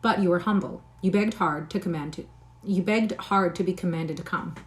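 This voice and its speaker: A woman voicing a clever, proud young lady with a quick, mocking wit. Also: lowish voice, natural voice. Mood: teasing. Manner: brisk, mocking.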